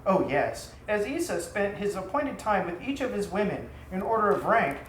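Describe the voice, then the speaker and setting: Man, theatrical storytelling, deliberate dramatic delivery, echoey room, faint noise. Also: high-pitched, big pitch swings, a bit bright. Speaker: author reading own story aloud. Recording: roomy echo, faint background noise.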